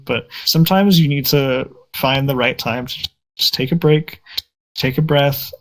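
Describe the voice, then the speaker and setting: A man's voice: mid-range voice, a bit bright, poor audio. A young man answering interview questions in a casual, reflective and self-deprecating way. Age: young adult. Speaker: interview guest.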